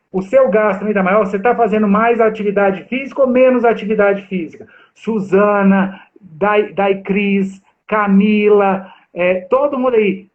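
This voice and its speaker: A man in his forties from Brazil, a guest instructor talking animatedly and straight to viewers on a video call. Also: high-pitched. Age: 40s. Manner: animated, addresses viewers directly. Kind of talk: live chat with audience. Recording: tinny phone-like line.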